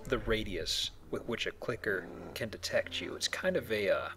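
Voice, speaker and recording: A man's voice: on the low side, moderate pace, fairly bright tone, faint music behind. A man hosting a casual let's play, chatting as he plays a video game. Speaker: video game player and host. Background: video game sounds.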